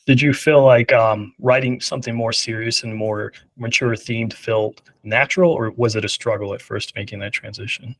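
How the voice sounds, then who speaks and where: Man, interviewer, mid-pitched voice, casual, filler-heavy questions. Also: steady tone, normal speed, hardly any pauses, fairly bright tone, poor audio.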